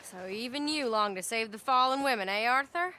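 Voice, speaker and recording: High, theatrical teasing outlaw decoy. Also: female voice, lively intonation, brisk, sharp tone, a little hiss or hum. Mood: mock heartbreak. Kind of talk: dramatic video game dialogue.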